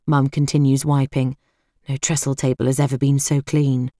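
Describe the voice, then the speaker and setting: A woman's voice: a bass voice, level tone, moderate pace, dark tone, no echo, studio quality. A British woman reading first-person fiction as an audiobook narrator.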